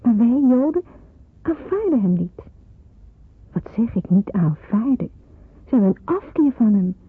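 Vurige jonge Joodse onderduikster, hese stem. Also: female voice, fairly high pitch, big pitch swings, a little slow, breathy edge, full character voice. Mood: anguished, wondering. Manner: earnest, emphatic, pleading delivery. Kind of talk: Dutch radio play acting. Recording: muffled narrowband old radio play.

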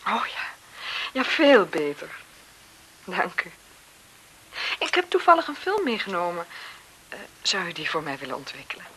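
A woman's voice: fairly low pitch, very animated, moderate pace, pauses often, a bit bright, breathy edge, exaggerated voice, low-quality recording. An actress playing a bored, impatient, coquettish aristocratic lady in a 1970s radio drama, with languid, theatrical sighing delivery. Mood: polite. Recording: hissy 1970s radio play recording.

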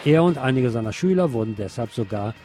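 A man reading scripted radio news in a measured, formal manner. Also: mid-range voice, lively intonation, dark tone, faint music behind.

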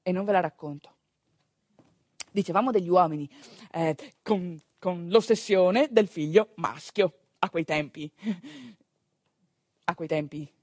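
A woman with a northeastern Italian accent performing a theatrical storytelling monologue in a conversational, wry, expressive manner. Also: lowish voice, unhurried, pauses often, dry recording, studio quality. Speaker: stage actress, storyteller.

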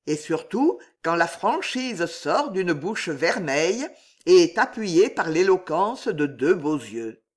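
A woman reading aloud and voicing a king, a grown man who is calm, gallant and courtly. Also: lowish voice, takes it slowly, heavily acted.

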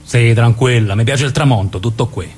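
A man's voice: medium pitch, moderate pace, darkish tone, a little hiss or hum, close to an everyday voice. A man playing a protective, domineering older brother in a radio drama, with a southern Italian accent and colloquial, patronizing speech. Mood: easygoing. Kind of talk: naturalistic radio drama dialogue.

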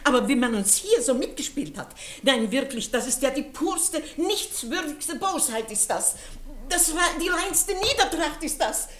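An indignant mother in a radio play. Her high-pitched voice declaims with overwrought outrage. The sound is roomy and echoing. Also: lively intonation, unhurried. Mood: outraged. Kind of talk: dramatic radio acting.

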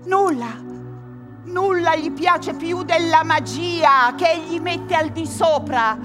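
High-voiced, slow theatrical chorus. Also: female voice, music in the background. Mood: solemn storytelling. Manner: slow, emphatic, declamatory phrasing. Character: solemn female chorus figure warning of a scholar's damnation. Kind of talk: theatrical verse narration, radio drama.

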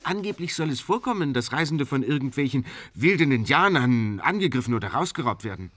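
An actor in a German audio drama playing a loyal manservant, wary, formal but excitable. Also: male voice, medium pitch, fairly quick, close to an everyday voice. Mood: worried.

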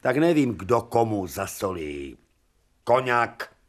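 Commanding wry professor, animated delivery. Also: male voice, fairly low pitch. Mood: sardonic. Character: commanding, wry older male professor of medicine. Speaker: actor in a radio drama. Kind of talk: theatrical radio drama acting.

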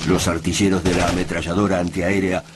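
An Argentine actor as the grave narrator of a radio drama, with solemn, literary delivery over loud music and battle effects. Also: male voice, sits low, level tone, brisk, close to an everyday voice. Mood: grave, urgent. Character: grave male narrator of an alien invasion epic.

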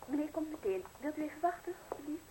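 A woman's voice: sits high, expressive, fast talker, warm tone, low-quality recording. An actress playing a polite female servant in a Dutch radio play. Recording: hissy old radio drama recording.

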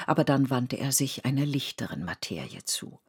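A woman playing the sober, deliberate narrator of a historical radio play. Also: extremely low pitch, sing-song, husky, full character voice. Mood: grave.

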